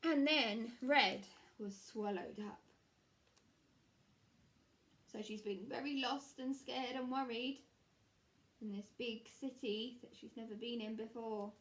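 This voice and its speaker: A woman in her thirties, a primary teacher reading a story aloud to children in a measured, explanatory way, recorded in a small room. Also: a little high, fairly bright tone, a little hiss or hum. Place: small room at home, indoors.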